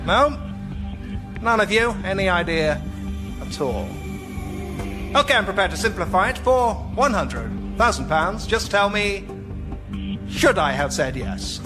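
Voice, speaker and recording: An excitable quiz show host from a radio comedy. The voice sits high for a man, with emphatic presenter patter and a needy edge. There is music behind it. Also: measured pace, long pauses. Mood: pleading, insistent. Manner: showy presenter delivery, emphatic. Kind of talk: radio comedy parody of game show hosting.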